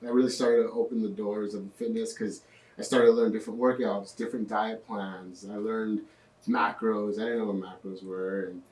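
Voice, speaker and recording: A young man in his twenties, a podcast guest telling his story in a relaxed way with lots of fillers. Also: lowish voice, moderate pace, recorded from a distance. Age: 20s. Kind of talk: casual interview. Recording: echoey room, off-mic.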